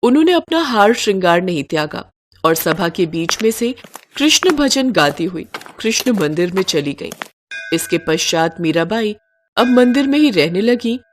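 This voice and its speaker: A woman's voice: medium pitch, sing-song, normal speed, faint music behind. A woman storyteller reading a scripted devotional story.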